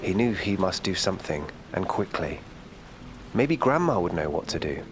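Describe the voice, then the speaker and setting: A man reading a children's bedtime story in a calm, gentle storytelling manner. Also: low voice, moderate pace, over music.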